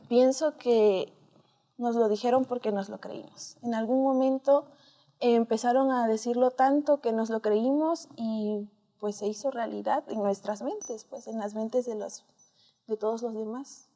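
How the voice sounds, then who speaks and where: A woman's voice: fairly high pitch, fairly even intonation, unhurried, dark tone. A woman with a Mexican accent, an interview guest telling personal stories in a conversational, hesitant, reflective way.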